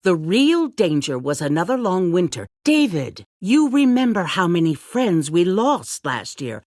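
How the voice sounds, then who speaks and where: An actress playing a calculating, self-righteous leader of a survivor community in a video game, speaking in a measured, condescending, politician-like way. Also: female voice, lowish voice, very animated, a little mellow, no room sound, studio quality. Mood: composed, authoritative. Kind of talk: dramatic video game voice acting.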